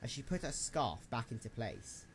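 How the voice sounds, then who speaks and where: Animated male story narrator. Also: mid-range voice, moderate pace, slight background noise. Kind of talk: dramatic scripted story narration.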